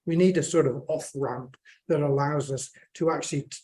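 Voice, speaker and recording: Male scientist on a panel, croaky throat, halting delivery, voice on the higher side. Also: a little mellow. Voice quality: hesitant, many fillers and restarts. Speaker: panel speaker, plant biotechnologist. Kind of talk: unscripted panel remarks.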